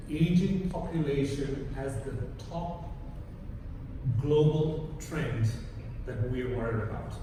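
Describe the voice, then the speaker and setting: A middle-aged man from Kenya giving a prepared talk to an audience, halting with frequent restarts and fillers. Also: medium pitch. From born in India, grew up in Kenya. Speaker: conference speaker. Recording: echoey hall from far off with background noise.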